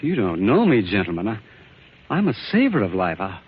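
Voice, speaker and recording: An actor playing an earnest, absent-minded scientist in a 1940s radio drama, hesitant and stammering, easily roused to indignation. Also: male voice, on the low side, huge pitch swings, fairly quick, husky, full character voice. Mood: shocked, indignant. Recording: dull lo-fi 1940s radio recording.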